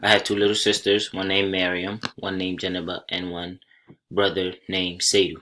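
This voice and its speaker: A young college student from Senegal, raised speaking Soninke, walks his class through a slide presentation. His voice sits low and holds a bright, forward edge. He talks plainly and without hurry, and now and then he starts a phrase over. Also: little pitch movement. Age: young adult. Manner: plain, unhurried, with small restarts.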